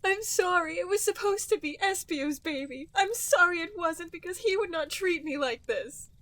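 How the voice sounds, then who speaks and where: A woman's voice: extremely high pitch, expressive, normal speed, fairly bright tone, no echo. A woman voicing a tired, tearful young cartoon bat mother. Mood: tearful apology.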